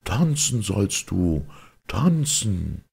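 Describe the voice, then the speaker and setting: Guy reading as a wrathful angel from a fairy tale, rough husky voice pushed up high, drags out his commands. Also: sing-song, darkish tone, exaggerated voice. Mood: stern, condemning. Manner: commanding, drawn-out incantation. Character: stern, wrathful male angel pronouncing a curse.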